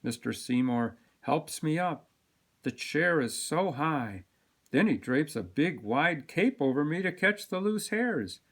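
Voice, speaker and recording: A man reading a children's picture book aloud with expressive, dramatic emphasis. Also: fairly high pitch, slow pace. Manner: animated, dramatic delivery with sound-word emphasis. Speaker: storybook reader.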